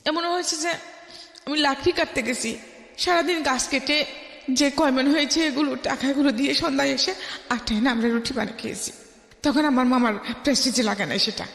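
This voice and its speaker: Woman, Bangladeshi accent, high pitch, life-story narration, emphatic, echoing room. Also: a little fast, vocal fry, a bit bright. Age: middle-aged. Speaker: guest telling her life story. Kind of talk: personal storytelling in a radio interview. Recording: echoey room with long reverb.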